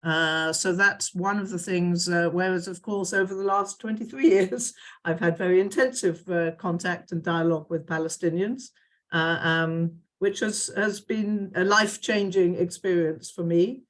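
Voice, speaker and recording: A woman's voice: fairly low pitch, steady tone, normal speed. A middle-aged woman with a British accent, introducing herself on a panel video call, with many hesitations and restarts. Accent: British English. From United Kingdom. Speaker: executive director of a dialogue center.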